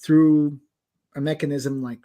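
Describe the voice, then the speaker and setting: A man hosting a live online session, chatting casually and self-deprecatingly as he demos translation software. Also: fairly high pitch, little pitch movement, a little slow. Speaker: host demoing translation software. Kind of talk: casual live demo talk.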